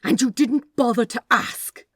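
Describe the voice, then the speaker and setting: A woman's voice: on the high side, measured pace, breathy edge, no echo, voice pushed up. Woman acting out male angel, storybook character, fierce, fussy, caring, emphatic, dry close sound. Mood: furious, commanding. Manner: commanding, snapping, emphatic.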